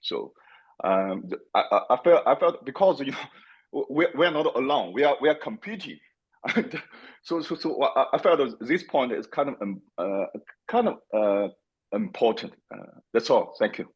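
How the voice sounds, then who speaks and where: Man, husky voice, halting and repetitive delivery, lo-fi call audio. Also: mid-range voice, animated. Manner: halting, many repeats and "like, uh". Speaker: workshop participant. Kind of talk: casual discussion comment.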